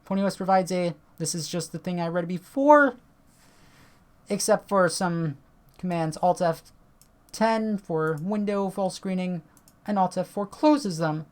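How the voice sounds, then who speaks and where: A woman with a tired voice, chatting casually and swearing now and then as she reads on-screen text and walks through software at her computer. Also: on the low side, measured pace. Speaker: tech video creator.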